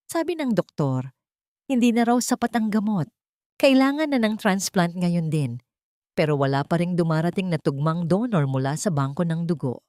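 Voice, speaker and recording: A woman's voice: on the low side, animated, normal speed, dark tone, no room sound, clean studio sound, lightly acted. Grieving old man voiced by a woman. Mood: tearful. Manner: sobbing, worried. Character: grieving, gentle elderly father figure.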